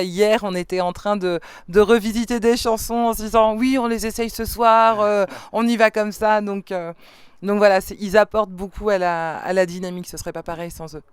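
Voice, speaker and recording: A woman's voice: mid-range voice, clear, resonant tone, dry recording, clean studio sound. A woman singer answering interview questions in a thoughtful, hesitant way, with many fillers and restarts. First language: French. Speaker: band singer and songwriter. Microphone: studio microphone.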